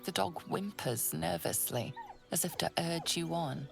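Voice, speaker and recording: An actress narrating a fantasy game in a measured, solemn, suspenseful storytelling manner, with breathy phrase endings. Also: female voice, on the low side. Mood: solemn, hushed. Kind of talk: dramatic scripted second-person narration. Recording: studio voice over background music.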